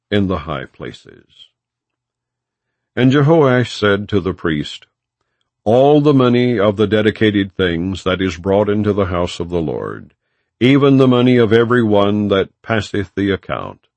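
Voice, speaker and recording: A man narrating scripture as an audiobook, in a solemn, formal and measured way without dramatizing it. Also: lowish voice, darkish tone, no echo.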